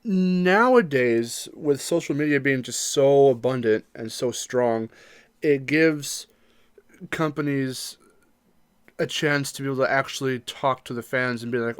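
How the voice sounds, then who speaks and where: A man's voice: mid-range voice, clean tone, no room sound. Man chatting emphatically, dry close sound. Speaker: podcast co-host. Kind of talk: casual discussion podcast chat.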